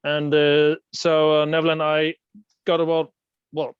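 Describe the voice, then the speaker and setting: Higher-voiced male host, smooth and dry. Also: completely flat delivery, a little slow, no room sound, narrow, tinny audio. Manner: hesitant, many fillers and restarts. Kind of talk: online interview talk.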